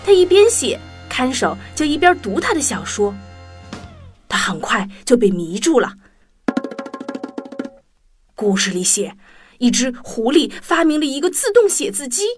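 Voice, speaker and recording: Lady reading a kids story, highish voice, really expressive up and down, music under it. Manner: expressive, addresses young listeners. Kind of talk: animated children's story narration. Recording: music bed behind the voice.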